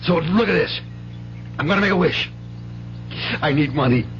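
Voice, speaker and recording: A man's voice: on the high side, normal speed, a bit bright, close to an everyday voice. A muffled, noisy old radio drama recording with hum. A man acts out a desperate American father and gambler, wheedling and bitter, stumbling and repeating himself as he pleads. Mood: bitter, agitated.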